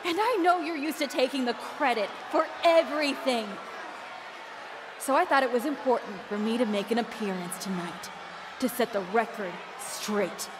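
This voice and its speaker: A woman's voice: high voice, background noise. An actress voicing a bitter, jealous young woman who runs an indie wrestling promotion, talking in a cold, taunting way in a video game cutscene. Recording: roaring arena crowd behind.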